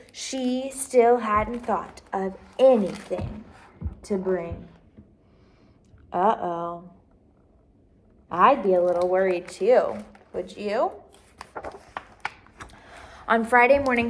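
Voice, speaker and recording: A woman with a fairly high voice reads a children's storybook aloud. She narrates expressively, as a storyteller who draws young listeners in with questions. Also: slight background noise. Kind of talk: children's picture book read-aloud.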